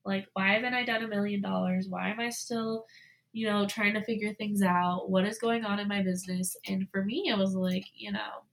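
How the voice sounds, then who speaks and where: A woman's voice: medium pitch, moderate pace, creaky. Chatty young woman podcast host. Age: 20s. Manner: chatty, frequent "like" and "you know" fillers; animated, voices her own past thoughts. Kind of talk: casual solo podcast talk.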